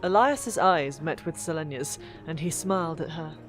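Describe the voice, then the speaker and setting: A woman narrating a fantasy audiobook in an expressive, deliberate storytelling manner. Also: sits low. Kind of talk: dramatic scripted fiction narration. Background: background music.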